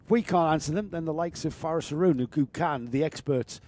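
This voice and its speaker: A man's voice: a little high, brisk, darkish tone. Chatty man hosting a live race stream. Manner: chatty, jokey, reads out chat names. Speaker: race commentator and stream host. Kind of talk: live motorsport commentary and chat.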